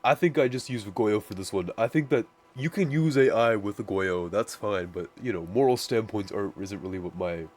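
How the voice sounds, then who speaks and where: Deep-voiced man rambling through a tutorial. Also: moderate pace, few pauses, darkish tone. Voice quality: naturally deep voice. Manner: rambling, full of "like" and restarts. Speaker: music producer. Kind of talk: casual screen-share tutorial.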